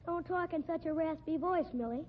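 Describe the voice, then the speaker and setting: An actor playing a sneering, spiteful little circus midget with a small piping voice, pompous and taunting, in 1950s radio drama style. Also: male voice, very high voice, a little fast. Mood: sly, needling. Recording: muffled, noisy old radio recording.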